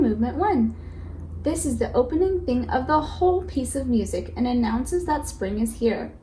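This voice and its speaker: A woman's voice: fairly high pitch, mellow. A woman teacher reading a children's picture book aloud in an instructive way, explaining as she goes. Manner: instructive, addresses listeners with questions and prompts. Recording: roomy echo with faint background noise.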